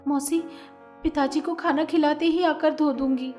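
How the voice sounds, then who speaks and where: A woman voicing a meek, obedient young stepdaughter who pleads softly. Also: high voice, a little slow, faint music behind, pitched above the performer's own voice. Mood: timid. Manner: timid, pleading, soft.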